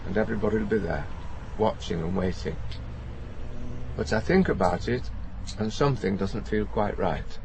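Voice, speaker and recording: An old man, lonely and gentle, in a radio drama. His voice is low and gravelly, and he speaks in a hesitant, understated, anxious way. A faint noise sits behind him. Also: normal speed. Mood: quietly resolute. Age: older. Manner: hesitant, plain-spoken, understated. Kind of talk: naturalistic radio play acting.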